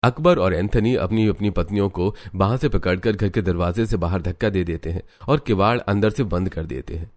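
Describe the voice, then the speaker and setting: A man's voice: sits low, a little fast, few pauses, dry recording. A man reading scripted storybook narration for a cartoon.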